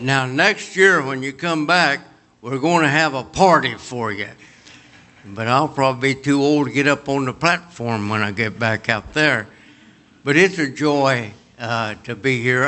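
A man's voice: medium pitch, measured pace. A man in his late eighties from Kentucky with a Kentucky accent, preaching a homespun sermon full of personal stories. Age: 80s. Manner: homespun, storytelling, lively and chatty.

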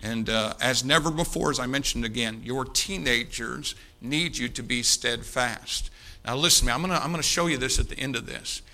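A man's voice: mid-range voice, normal speed, bright, forceful tone. A man in his fifties preaching a sermon to a congregation, emphatic, repeating key phrases and addressing his listeners directly. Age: 50s. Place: speaking live before an audience.

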